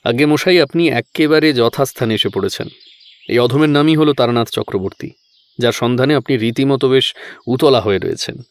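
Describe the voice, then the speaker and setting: A man's voice: mid-range voice, moderate pace, natural voice. A man voicing a polite, reassuring younger tantric holy man in an audio story, speaking formally and earnestly. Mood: amused, courteous.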